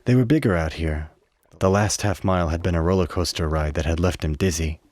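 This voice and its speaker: A man narrating a radio play with measured, literary dramatic storytelling. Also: low voice, darkish tone, dry recording.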